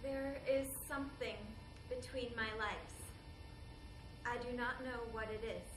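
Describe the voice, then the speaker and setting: A traumatized woman , acted on stage, speaks her monologue in a high voice, numb and grieving. The hall rings with echo, and noise sits clearly behind her. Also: fairly even intonation, unhurried, far from the mic, natural voice. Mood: numb, haunted. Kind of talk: theatrical monologue performance.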